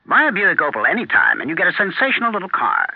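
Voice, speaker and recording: A man with a highish voice delivers an upbeat seventies radio commercial. His intonation is very animated. The recording is dry and thin, like an old broadcast. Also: fast talker, vocal fry, no echo, phone-quality sound. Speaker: commercial announcer. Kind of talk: upbeat 1970s radio car advertisement.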